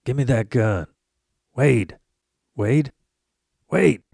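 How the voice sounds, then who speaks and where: Man playing a gravelly, stern rancher. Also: mid-range voice, very slow, a little mellow, dry recording, studio quality, full character voice. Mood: urgent, alarmed. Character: stern, protective rancher father. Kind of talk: amateur audio drama acting.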